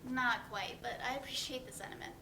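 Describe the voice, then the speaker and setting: A woman's voice: a little high, fast, vocal fry, pushed and bright. A woman playing a wry, sarcastic young woman with a dry, natural delivery in a play reading. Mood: polite, weary.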